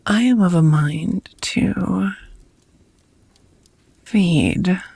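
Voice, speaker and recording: A woman playing a seductive, doting vampire roommate in an intimate ASMR romance roleplay, whispering softly with teasing, formal endearments. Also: fairly low pitch, dry recording, heavily acted. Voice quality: soft, breathy whispering. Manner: slow, sultry, formal wording with pet names.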